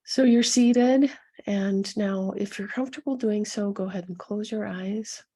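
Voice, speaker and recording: A woman leading a guided meditation as a coach, speaking softly and soothingly to her listeners with a gentle, husky delivery. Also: mid-range voice. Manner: soothing, gentle, unhurried instruction with pauses.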